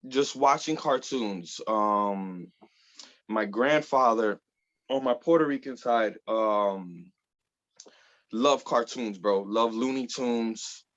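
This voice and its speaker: A man's voice: lowish voice, slow, fairly bright tone, dull audio. A man in his thirties from the Bronx, chatting loosely as an interview guest, full of fillers and casual swearing. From the Bronx, New York. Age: 30s. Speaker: guest artist.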